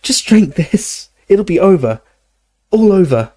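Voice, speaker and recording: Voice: mid-range voice, very animated, takes it slowly, dark tone, no echo, pitched above the performer's own voice. A storyteller voicing a desperate young male wizard, anxious and urgently coaxing, as in an audiobook. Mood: desperate urging.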